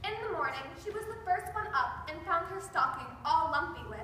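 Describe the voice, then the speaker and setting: A woman's voice: very high-pitched, expressive, a little fast, bright, forceful tone. A woman narrating a scripted story in a readers theater performance. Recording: echoey hall from far off, noisy.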